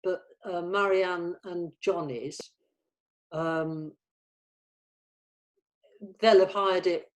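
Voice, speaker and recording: A middle-aged woman chatting casually as a producer in a planning meeting, agreeable and a little halting. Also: lowish voice, steady tone.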